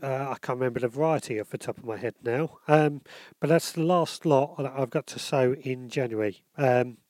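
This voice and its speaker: A man's voice: medium pitch, moderate pace, a little mellow, no echo. Congested man hosting a podcast. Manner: rambling, lots of ums and self-corrections. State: bunged up with a cold. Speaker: podcast host. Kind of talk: casual solo podcast.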